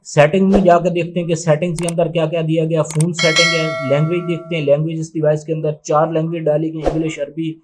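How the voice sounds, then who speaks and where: A man with a slightly higher-pitched voice walks through a product demonstration. His intonation stays flat and even. He explains each step plainly and methodically, in the unscripted manner of an unboxing reviewer. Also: fast, few pauses, very noisy. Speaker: tech reviewer presenting a phone unboxing.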